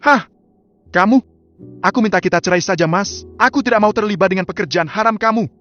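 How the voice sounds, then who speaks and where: A man voicing a scornful businesswoman wife who is fed up with her husband, asking sharp, accusing questions. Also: high voice, brisk, voice pushed up. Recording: slightly muffled, soft music behind.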